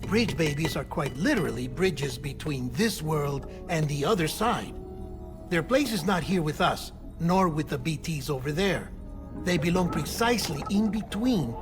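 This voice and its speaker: Fussy, articulate game scientist. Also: male voice, a little high, lively intonation, moderate pace, over music. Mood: measured, explanatory. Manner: articulate, explanatory, theatrical phrasing. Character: fussy, smooth-talking male scientist-doctor, clinical and secretive. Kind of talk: cinematic video game dialogue.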